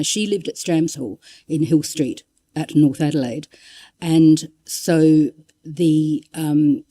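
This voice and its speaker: A woman's voice: sits low, warm tone, breathy edge. A woman giving an informal history talk, conversational and wry, drifting into asides and reading out quotations. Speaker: public lecturer.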